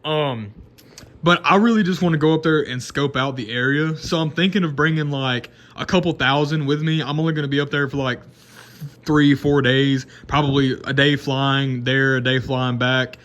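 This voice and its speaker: A man's voice: medium pitch, fairly even intonation, moderate pace, a bit bright. A twenty-year-old man, talking casually to camera in a rambling, unscripted way full of restarts and fillers. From Mississippi, American South. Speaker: vlogger.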